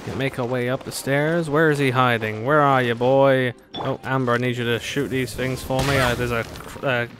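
A man's voice: mid-range voice, fairly even intonation, normal speed, a bit bright, a little hiss or hum. A man giving casual gameplay commentary with playful, sardonic asides and bits of sing-song babble. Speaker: gamer commentating a playthrough. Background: game music.

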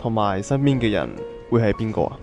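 A man's voice: fairly low pitch, animated, a little fast, darkish tone, soft background music, lightly acted. A man playing a straightforward, easygoing young man in an amateur radio drama. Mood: matter-of-fact.

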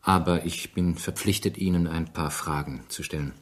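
An actor playing a polite but persistent police inspector in a German radio crime drama, speaking formally and with measured care. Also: male voice, sits low, fairly even intonation. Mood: polite, probing. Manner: formal, measured, hesitant fillers.

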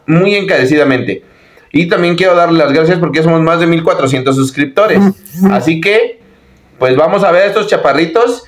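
A middle-aged man with a Mexican accent hosting an aquarium video channel, talking upbeat to his viewers from a small office. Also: on the high side. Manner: upbeat, addresses viewers as friends. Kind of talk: enthusiastic video presentation. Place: small indoor office with posters.

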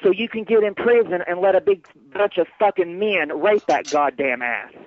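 A hostile male store manager on the phone, his voice pitched high. He argues irritably and swears heavily. The sound is dry and lo-fi, like a muffled phone line. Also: moderate pace, no room sound. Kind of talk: heated phone argument. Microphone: phone call.

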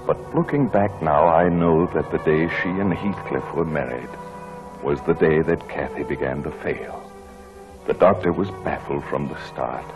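A very deep-voiced, proper husband. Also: male voice. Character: proper, indignant husband narrating his sorrowful memories. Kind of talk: 1940s radio drama acting and narration.

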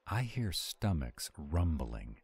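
A man acting a very deep-voiced grumpy were-dragon. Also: sing-song, slow, gravelly, darkish tone, breathy edge, exaggerated voice. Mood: grumpy, reluctant. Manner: grumbling, reluctant, defensive. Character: grumpy, self-doubting male were-dragon cook, gruff but tender. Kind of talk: roleplay audio drama.

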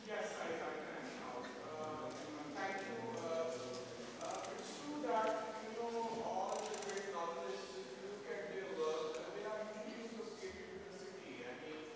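A man's voice: high-pitched, a little slow, a loud crowd. A man from the audience making a polite, hesitant point, with many fillers. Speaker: audience member asking a question. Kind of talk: audience comment at a panel. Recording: distant voice in an echoey hall with noise.

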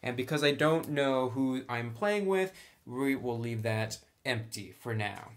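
A man's voice: mid-range voice, lively intonation, a little slow. A man hosting a casual tabletop roleplaying tutorial, talking informally and thinking aloud as he goes.

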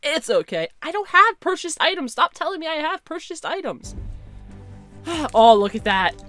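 Excitable young woman streamer. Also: high-pitched, moderate pace, faint music behind. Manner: chatty, excitable, talks to chat. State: slightly hoarse. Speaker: game streamer. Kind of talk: casual live-stream chat. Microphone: headset.

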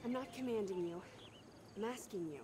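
An actress playing an earnest, resourceful young female survivor in a video game, speaking in a soft-spoken way. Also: mid-range voice, moderate pace, music in the background, lightly acted. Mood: earnest, thoughtful. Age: young adult.

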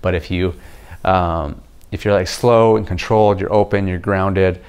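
A young man speaks in a low, deep register, talking straight to the camera in a home kitchen. His delivery is casual and explanatory, with easy pauses and frequent "okay?" check-ins. He sounds like a vlogger reviewing a book for his channel. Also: fairly even intonation, a little mellow, smooth. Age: young adult. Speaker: video host reviewing a book. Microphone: camera facing him at chest-up framing.

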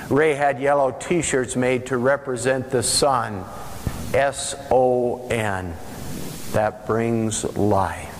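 An older man preaching a sermon as pastor, earnest and emphatic, with drawn-out pauses, standing in a large church sanctuary. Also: mid-range voice. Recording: long church reverb, faint hiss.

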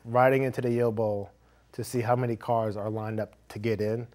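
A man's voice: mid-range voice, little pitch movement, a little fast, a little mellow. A middle-aged man speaking earnestly as an interview guest in a small studio. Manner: earnest, heartfelt. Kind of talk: casual interview chat. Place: small TV studio with curtain backdrop.

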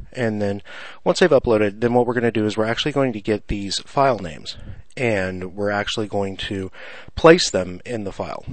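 A man's voice: on the low side, no echo. A man presenting an unscripted screencast tutorial, explaining things casually, step by step.

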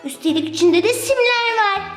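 An excitable, delighted little girl put on by a performer in a very high voice. She gushes in sing-song exclamations, as in a children's toy skit. The sound is roomy, with music playing behind. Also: moderate pace. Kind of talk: children's toy play skit, acted dialogue.

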